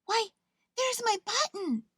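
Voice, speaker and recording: A woman voicing a small, innocent male toy bear for a children's storybook, wistful and full of gentle wonder. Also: very high voice, very animated, slow pace, no room sound, studio quality, pitched above the performer's own voice, exaggerated voice. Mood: delighted wonder. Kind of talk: children's storybook read-aloud.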